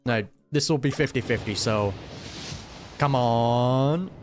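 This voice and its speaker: A man's voice: medium pitch, slow pace. A man giving casual, slangy live commentary as a gaming streamer, with wry asides. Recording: game music behind the commentary.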